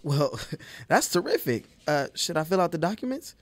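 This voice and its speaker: An actor playing a timid, eager and awkward new deputy in a western audio drama, hesitant and stammering. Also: male voice, a little high, big pitch swings, normal speed. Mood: eager, unsure.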